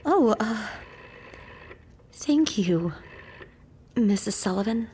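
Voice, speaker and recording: Period housemaid: meek, hesitant, deferential, higher voice, slow, echoing room. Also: female voice, expressive, darkish tone. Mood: nervous, meek. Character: timid, deferential young 1930s housemaid. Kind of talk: period audio drama acting. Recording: reverberant hall, faint noise.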